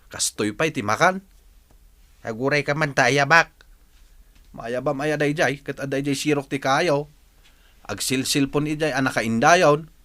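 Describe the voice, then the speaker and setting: A man's voice: fairly high pitch, measured pace, pauses often, sharp tone. An actor playing an exasperated, scolding man of a rural household in an Ilocano radio drama, grumbling and exclaiming.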